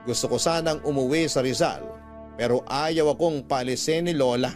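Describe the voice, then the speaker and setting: A man's voice: medium pitch, normal speed, faint music behind, close to an everyday voice. An actor playing a troubled young man who recounts his story reflectively, as a letter in a Filipino radio drama. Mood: weary. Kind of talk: first-person dramatic narration of a radio letter.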